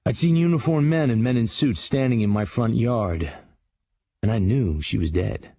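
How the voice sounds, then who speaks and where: A man's voice: medium pitch, moderate pace, warm tone, natural voice. An actor playing a grown writer who grimly recalls his boyhood, narrating a drama in a hard-boiled voice. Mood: grim, reflective. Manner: hard-boiled, matter-of-fact. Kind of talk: scripted first-person drama narration.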